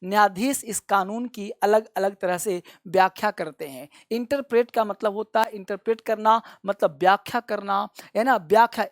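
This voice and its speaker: A man's voice: high voice, normal speed, few pauses, no echo, clean studio sound. A male English vocabulary teacher who gives a classroom-style lesson, repeats each word and has his students say it after him, and calls them his children. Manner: addresses viewers fondly as children.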